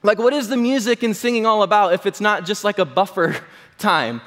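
A man, a pastor, giving a chatty Sunday sermon with a slightly hoarse voice and many fillers. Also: high voice, fairly quick, fairly bright tone. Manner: chatty, self-deprecating, full of fillers. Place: church hall. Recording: long hall reverb.

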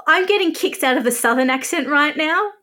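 A woman's voice: high-pitched, expressive, brisk, bright, forceful tone. An Australian woman working as a host, speaking with effusive enthusiasm as she introduces and interviews guests. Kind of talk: scripted introduction and friendly interview chat.

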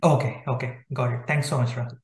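A man's voice: medium pitch, steady tone, fast talker. A man calling in with spontaneous questions for advice, a little hesitant and repeating answers back to check them. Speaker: caller seeking immigration advice. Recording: roomy, echoing conference-call line.

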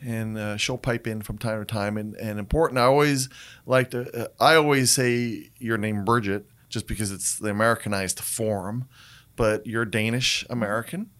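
A man's voice: lowish voice, fairly even intonation, moderate pace, fairly bright tone. A man hosting a casual interview who rambles through his questions with many fillers and restarts. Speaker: host.

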